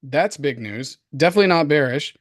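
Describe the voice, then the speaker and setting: A man with a hoarse voice co-hosts a casual market commentary show, talking in an excitable, slangy, repetitive way. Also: fairly high pitch, fairly quick, dry recording, clean studio sound. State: hoarse from coughing. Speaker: crypto show co-host.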